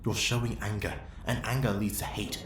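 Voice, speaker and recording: A man's voice: fairly low pitch, fairly even intonation, fairly quick, natural voice. A man playing an earnest, righteous Jedi master in an ASMR roleplay, pleading with urgency. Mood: alarmed pleading. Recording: echoey with faint background noise.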